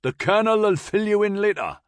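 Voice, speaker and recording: Man doing a dour, high-pitched Newcastle corporal. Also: lively intonation, normal speed, dry recording. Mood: businesslike shouting. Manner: dolorous, testy, calling out. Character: testy, dry, dour army corporal calling from a ferry.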